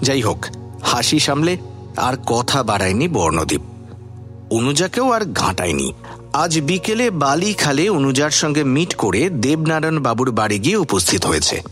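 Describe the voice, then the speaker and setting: A man narrating a suspense story for a radio drama as a wry, composed storyteller with expressive delivery. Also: mid-range voice, moderate pace, faint music behind.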